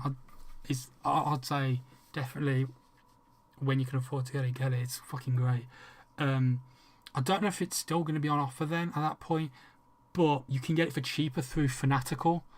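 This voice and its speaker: An English-accented man streaming a game and talking straight to his viewers. He rambles along, trips over his own starts and drops swear words freely, at a middling pitch for a man. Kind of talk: casual livestream chat with viewers.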